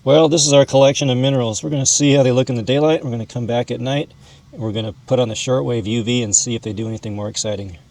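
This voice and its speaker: A man's voice: medium pitch, fairly even intonation, quick pace, a little mellow, rough recording. A man hosting an informal educational video, explaining and showing things in a folksy, conversational way. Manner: folksy, calls objects "this guy". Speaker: host, mineral hobbyist educator.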